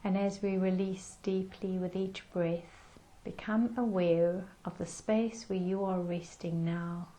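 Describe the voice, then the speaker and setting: A woman leading a guided meditation in a gentle, soothing manner with unhurried pauses. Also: medium pitch, rough recording.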